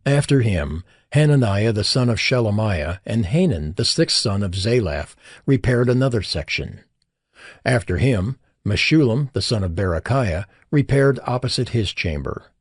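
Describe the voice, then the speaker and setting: A man narrating scripture from a script in a solemn, dramatic style with measured phrasing. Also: on the low side, expressive, breathy edge, no echo, studio quality. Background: background music.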